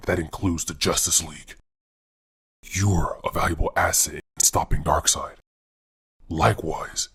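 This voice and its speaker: Very deep, husky brooding vigilante. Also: male voice, fairly bright tone, no room sound, clean studio sound, exaggerated voice. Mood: grim, resolute. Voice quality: gravelly, husky. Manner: grave, deliberate, measured. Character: brooding, haunted adult male vigilante hero. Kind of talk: dramatic comic dub acting.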